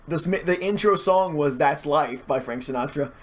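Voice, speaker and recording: A man chatting casually as a podcast guest, telling stories in an animated way with stammered false starts and repeated words. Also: fairly high pitch, moderate pace. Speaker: podcast guest, hobby artist and fandubber. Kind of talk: casual chat, storytelling.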